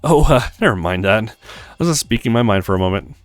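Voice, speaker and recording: A man's voice: on the low side, expressive, fast, dry recording, faint music behind, lightly acted. A man voicing a shy, kindly young woman who is tentative and polite, in a visual novel. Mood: regretful. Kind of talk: acted visual novel read-aloud.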